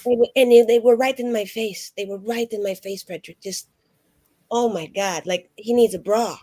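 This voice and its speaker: A woman's voice: mid-range voice, normal speed, mellow, dry recording, close to an everyday voice. A woman voicing a flamboyant, chatty young female mage, rambling and full of fillers. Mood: gossipy.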